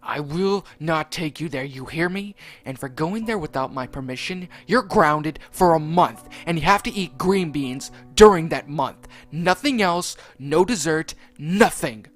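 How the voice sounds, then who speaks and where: A man voicing a hot-tempered father who yells and scolds. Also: fairly high pitch, expressive, a little slow, hardly any pauses, faint music behind, voice pushed up. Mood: furious. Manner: yelling.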